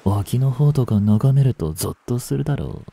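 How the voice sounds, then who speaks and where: A man playing a young delinquent in a voice drama, listless and blunt, with rough slangy talk and a caring streak. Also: medium pitch, animated, normal speed, dark tone. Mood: weary, teasing menace. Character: gruff, languid young male delinquent, secretly caring. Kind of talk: Japanese voice drama for female listeners.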